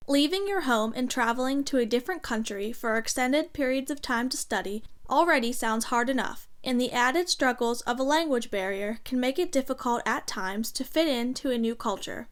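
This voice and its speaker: Woman narrating a documentary. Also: sits high. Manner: measured, read-aloud.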